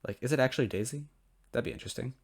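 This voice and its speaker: A man's voice: mid-range voice, rapid-fire, gravelly. A man hosting a semi-dramatic reading of a noir detective story, stumbling over lines now and then. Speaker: story reader and host. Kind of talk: semi-dramatic noir narration with casual asides.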